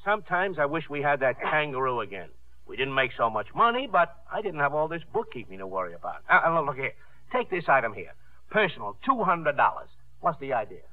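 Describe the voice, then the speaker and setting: A man's voice: medium pitch, sing-song, fairly quick, lightly acted. An actor playing a grumbling, folksy showman's sidekick with a wry manner in 1950s radio drama. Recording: muffled old 1950s radio broadcast.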